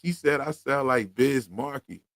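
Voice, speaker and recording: A man's voice: medium pitch, unhurried, a bit bright, no echo, studio quality. A man from Pennsylvania with a Philadelphia accent, hosting a casual livestream chat in a slangy, laid-back way full of fillers. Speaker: podcast host.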